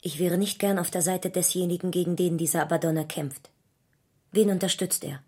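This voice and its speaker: An earnest, anxious woman in a radio play. The voice is husky and a little low. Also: steady tone, a little fast, warm tone. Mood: uneasy curiosity. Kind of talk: German radio drama acting.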